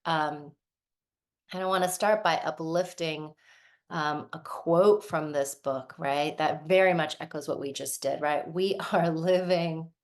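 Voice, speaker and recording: A woman's voice: low voice, a little slow. A woman in her forties, originally from Lebanon and raised in the United States, giving a thoughtful workshop talk as a somatic practitioner and often checking in with "right?".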